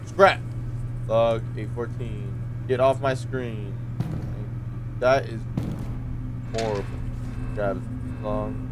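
A man's voice: lowish voice, fairly even intonation, slow, pauses often, noisy background. A man in his twenties giving casual, sweary playthrough commentary on a horror game, talking to himself as he goes. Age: 20s. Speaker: gaming video creator. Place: bedroom.

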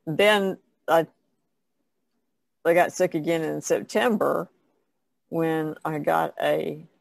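A woman's voice: low-pitched, creaky, dry recording. A woman of seventy chatting casually as a guest on an art podcast. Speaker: podcast panelist, artist. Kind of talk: casual chat.

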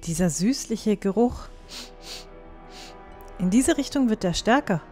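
A confident young fantasy heroine, acted by a woman, with polite and slightly formal speech. Her voice sits at a middle pitch, with music faintly behind it. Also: lively intonation, a little slow, darkish tone, natural voice. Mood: curious, assured. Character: confident, genteel, curious young woman traveller Aurea. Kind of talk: fan-made fantasy audio drama acting.

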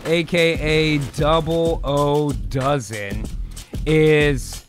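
A man's voice: fairly high pitch, fairly even intonation, extremely slow. A man streaming from close on the mic, chatting casually and brainstorming, drawing out phrases as he types along. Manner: drawn out while typing aloud. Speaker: co-host and writer. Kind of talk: casual improvised brainstorming chat. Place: home streaming room. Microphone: close microphone on boom arm. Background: music.

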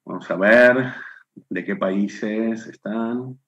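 A middle-aged Argentine man speaking into a webcam from a room at home, hosting a live online session. His voice sits fairly low, and he takes his time, chatting warmly as he greets viewers one by one by name. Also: drawn-out words, lo-fi sound. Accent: Argentine Spanish. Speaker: webinar host. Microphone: webcam on video call.

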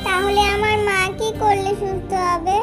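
A woman's voice: extremely high pitch, flat delivery, normal speed, a bit bright. A woman voicing a young fox daughter in a children's cartoon, earnest, worried and devoted. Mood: pleading. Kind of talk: Bengali children's cartoon dubbing. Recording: music behind the voice.

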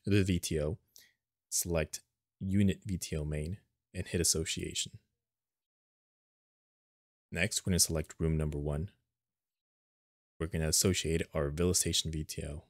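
A man narrating an unscripted step-by-step software tutorial in a plain, instructional manner, recorded as a screen voice-over. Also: a bass voice, no room sound, clean studio sound.

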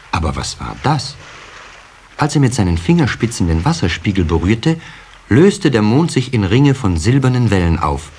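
A man narrating a children's radio play as an expressive storyteller. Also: lowish voice, husky.

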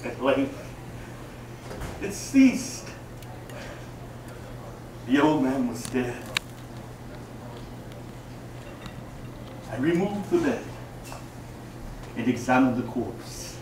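An older actor playing a boastful, cunning madman in a theatrical live recitation of gothic horror, melodramatic and emphatic, with deliberate phrasing and sudden swells. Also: mid-range voice, very animated, recorded from a distance. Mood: grim, intense. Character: gleeful mad murderer, male, boastful, cunning, nervy. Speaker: actor giving a dramatic reading. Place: small brick-walled cafe room, indoors. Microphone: camera a few metres away. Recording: echoey, noisy handheld camera from audience.

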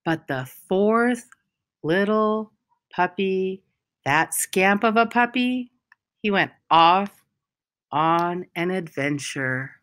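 A woman reads a picture book aloud to children in a lively, swooping voice at a slow pace. Her phrasing is deliberate and expressive, leaning on key words, with a mid-range pitch. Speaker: school storyteller reading a picture book aloud. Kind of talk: children's storybook read-aloud.

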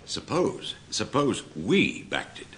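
An actor playing a pompous, scheming, blustering civil servant with a British accent in a classic radio comedy. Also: male voice, mid-range voice, very animated, slow pace, fairly bright tone. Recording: noisy old radio recording.

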